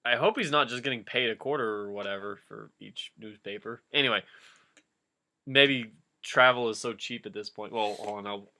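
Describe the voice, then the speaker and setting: A man's voice: lowish voice, normal speed, sharp tone. A man doing a comic dramatic reading with casual, jokey commentary, easily amused by the story. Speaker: reader and commentator.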